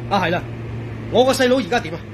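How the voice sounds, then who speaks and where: A man's voice: high voice, expressive, quick pace, background noise. An actor with a Hong Kong Cantonese accent playing a chatty, good-humored male engineer in a radio drama, joking as he talks. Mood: chatty, teasing. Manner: chatty, joking, peppers talk with chuckles and sighs.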